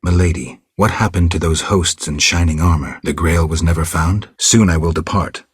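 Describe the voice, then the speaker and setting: An actor playing a stoic, world-weary male monster hunter in a video game, with a gravelly voice and terse, deadpan delivery. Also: deep voice, normal speed, dry recording, studio quality. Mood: stoic, reserved. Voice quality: gravelly, husky. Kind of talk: video game character dialogue.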